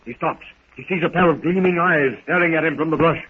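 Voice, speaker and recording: A man delivers dramatic scripted narration in the manner of a 1930s radio adventure serial. His voice sits a little high. The sound is tinny and narrow, with faint hiss over it. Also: brisk.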